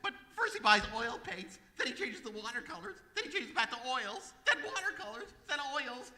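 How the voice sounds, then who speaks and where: High, strained, frazzled store clerk. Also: male voice, very animated, moderate pace, bright, forceful tone, full character voice. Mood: frantic exasperation. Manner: stammering, exasperated, whiny. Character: frazzled, hysterical male department store clerk. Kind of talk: old-time radio comedy acting. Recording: echoey hall, live stage performance.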